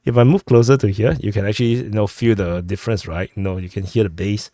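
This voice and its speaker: A man who is sick, hosting a war update and walking through a map, talking haltingly with many fillers and restarts on a close mic. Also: on the low side, warm tone, no echo. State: sick, clearing throat. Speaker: war news host.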